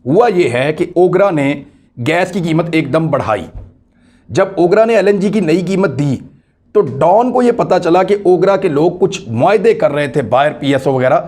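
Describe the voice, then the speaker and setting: A man's voice: a little high, animated, measured pace, darkish tone. A man with a Pakistani accent giving explanatory news commentary in an emphatic way, repeating key phrases and asking rhetorical questions. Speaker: political commentator hosting own online video.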